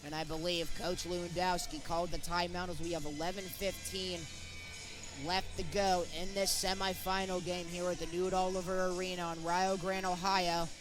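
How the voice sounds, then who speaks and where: A man's voice: sits high, level tone, normal speed, over music, rough recording. A young man calling live college basketball play-by-play in short, clipped present-tense phrases. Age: young adult. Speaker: play-by-play sportscaster.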